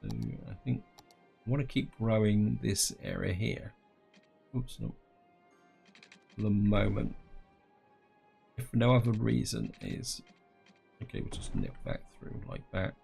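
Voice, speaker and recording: A man with a slightly croaky throat giving casual gameplay commentary, thinking aloud and talking to his viewers. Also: on the low side, big pitch swings, measured pace, pauses often, warm tone, faint music behind. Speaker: gaming channel host.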